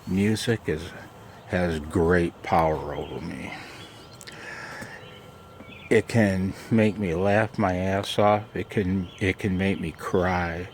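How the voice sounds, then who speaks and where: A man with a very low, gravelly voice talks in an unhurried, rambling way. He is casual and self-deprecating, like someone chatting on a homemade vlog. He is recorded outdoors, with faint background noise behind him. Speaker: amateur radio vlogger. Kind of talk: casual chat. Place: outdoors in a backyard garden and deck.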